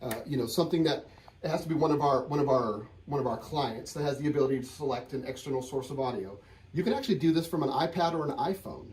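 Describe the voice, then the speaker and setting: A man's voice: medium pitch, quick pace, a little mellow, husky. A man presenting an informal hands-on tech tutorial, explaining conversationally and often rephrasing his points. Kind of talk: informal hands-on software and hardware demo.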